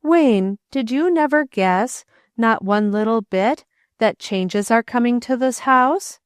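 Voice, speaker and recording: A woman's voice: a little high, clear, resonant tone, dry recording, studio quality, voice pushed up. Woman reading as a grim, graying aunt. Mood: gentle. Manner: measured, reluctant, plain-spoken.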